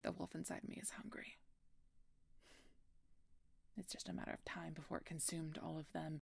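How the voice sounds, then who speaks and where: A woman's voice: sits low, level tone, moderate pace, gravelly, husky, no echo, heavily acted. A woman playing a guarded, brooding female werewolf knight in a fantasy audio roleplay, terse and often murmuring in a whisper. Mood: anguished.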